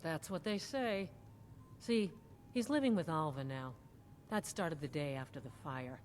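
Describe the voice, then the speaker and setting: An actress playing a plain-spoken, gossipy innkeeper in a fantasy game, talking in a frank, folksy way. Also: female voice, deep voice, measured pace, a little mellow, slight background noise.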